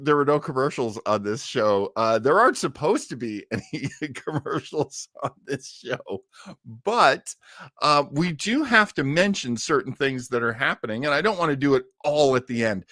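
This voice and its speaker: A man with a mid-pitched, very animated voice hosts a casual livestream. He is chatty and enthusiastic, wandering as he talks and stopping to hunt for the right word. The sound is dry, with little room echo. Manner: rambling, halting, searching for words. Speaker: host of an old time radio show livestream.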